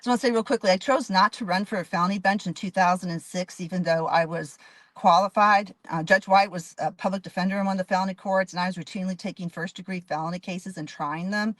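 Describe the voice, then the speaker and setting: Woman judge in her fifties, low-ish voice, earnest and thorough, dry close mic. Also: steady tone, fast, fairly bright tone, no room sound. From Dallas, Texas. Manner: earnest, detailed, frequent restarts. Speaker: judge and candidate. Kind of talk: interview answers.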